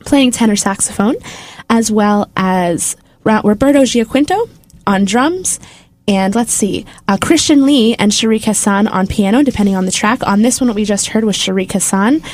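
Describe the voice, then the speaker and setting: A woman's voice: medium pitch, normal speed, a little mellow, dry recording. A woman hosting a college radio show, asking questions in a friendly, upbeat, loosely phrased way. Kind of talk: casual radio interview.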